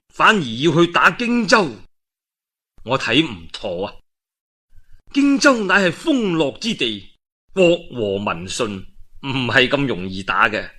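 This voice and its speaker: A male storyteller voicing a shrewd, deferential envoy who argues in a deliberate, rhetorical manner. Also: medium pitch, pushed and bright, exaggerated voice.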